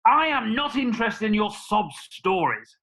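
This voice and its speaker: A woman's voice: on the high side, big pitch swings, unhurried, fairly bright tone, heavily acted. A woman playing a mean, pompous male landlord squire in a radio pantomime, scornful and declamatory. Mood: stern, threatening. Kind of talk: radio pantomime villain acting.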